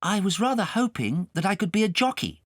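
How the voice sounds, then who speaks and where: A man's voice: high voice, big pitch swings, fairly quick, a bit bright, no room sound, clean studio sound, pitched above the performer's own voice, exaggerated voice. A male narrator voicing a polite, timid and earnest little boy in a children's audiobook. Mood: timid, hopeful.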